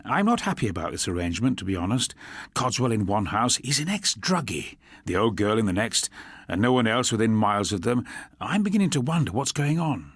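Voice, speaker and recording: A man's voice: on the low side, sing-song, a little fast, breathy edge, no room sound, clean studio sound. A man voicing an eager but unsure young police constable with a hesitant, self-conscious manner. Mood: unsure, sheepish.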